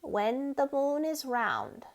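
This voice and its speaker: A woman voicing a large magic lizard, solemn and mysterious, chanting slowly for a storybook. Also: high-pitched, wildly swooping pitch, full character voice. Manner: slow, incantatory.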